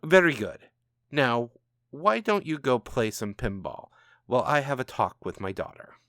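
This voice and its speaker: A man voicing a calm, knowing, commanding supernatural father in a storybook reading, speaking in a measured, taunting way. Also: mid-range voice, no room sound, clean studio sound. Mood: pleased, sly. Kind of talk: storybook narration dialogue.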